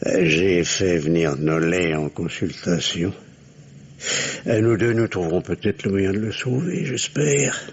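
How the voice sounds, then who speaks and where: An actor in an old radio play, playing a weary, fatherly, middle-aged country doctor with a husky voice and a hesitant manner. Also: low voice, measured pace, slight background noise. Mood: grave, concerned. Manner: hesitant, paternal. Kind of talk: old French radio drama acting. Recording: dull, lo-fi old radio recording.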